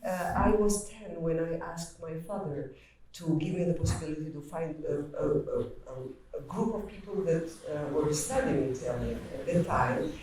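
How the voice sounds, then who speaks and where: Albanian woman, dark voice, echoey hall. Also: low voice. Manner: reflective, storytelling, halting restarts. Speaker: author. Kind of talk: panel conversation. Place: hall at a literary event. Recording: echoey hall, far from mic.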